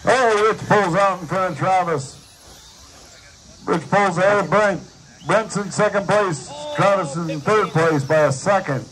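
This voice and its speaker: High-pitched male race announcer, noisy outdoors. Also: measured pace, fairly bright tone. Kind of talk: live race commentary over a PA. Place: outdoor RC dirt track. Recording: noisy outdoor track recording.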